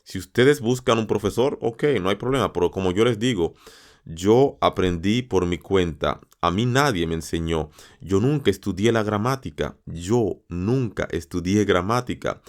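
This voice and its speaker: A man with a very low voice hosts a lesson podcast for learners of his language. He addresses his listeners directly and encourages them. He repeats words and phrases for emphasis. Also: moderate pace. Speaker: Spanish teacher and podcast host.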